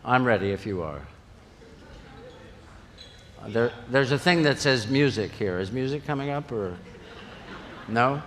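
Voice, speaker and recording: A man's voice: mid-range voice, lively intonation, slow, long pauses, a bit bright, slight background noise. A man hosting an awards ceremony, announcing with emphasis and the odd light joke. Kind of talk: live event announcing. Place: large event hall. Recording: reverberant hall.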